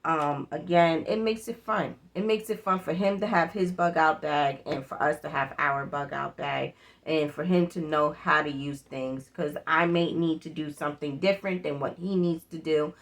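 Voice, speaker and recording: Chatty woman with a lowish voice. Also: steady tone, normal speed. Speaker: prepper vlog host. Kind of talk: casual product demonstration chat.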